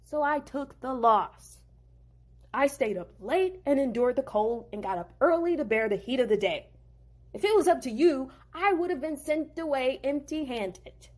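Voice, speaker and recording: A woman's voice: sits high, lively intonation, normal speed, darkish tone. A woman doing an aggrieved young shepherd. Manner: pleading, then protesting. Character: wronged young man, aggrieved husband and shepherd.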